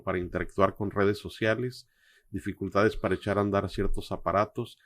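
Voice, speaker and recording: A man giving reflective film commentary as a co-host, explaining things phrase by phrase in a measured way. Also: lowish voice, dry recording.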